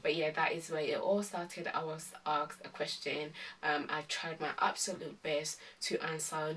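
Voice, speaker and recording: A woman in her twenties from Ghana, chatting calmly through a casual question-and-answer vlog with many pauses and ums. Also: deep voice, distant mic, rough recording. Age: 20s. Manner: calm, many ums and hesitant restarts. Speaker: vlogger.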